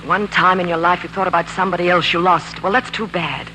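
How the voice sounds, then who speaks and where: A woman's voice: lowish voice, fairly even intonation, fast, bright, forceful tone. An actress playing a spirited, independent woman, tender but firm, direct and emotional in 1940s radio drama style. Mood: firm, sharp. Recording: noisy, humming 1940s radio recording.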